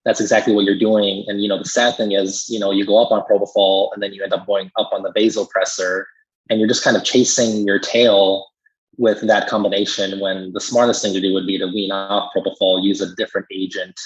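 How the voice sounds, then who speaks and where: A man's voice: on the low side, flat delivery, a little fast, breathy edge. A man explaining things conversationally as a pharmacist guest in an interview, emphatic when he agrees, on slightly muffled audio. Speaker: critical care pharmacist guest.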